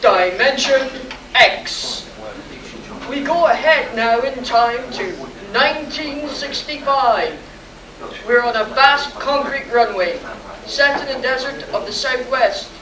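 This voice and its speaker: A portentous announcer for an old science-fiction radio drama, played by a woman. She speaks slowly and solemnly, fairly high in pitch. The voice comes from a distance in a roomy, noisy hall. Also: lively intonation, crowd noise. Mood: dramatic, solemn. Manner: measured, portentous delivery. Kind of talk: 1950s-style radio drama narration. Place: convention hall, live stage performance.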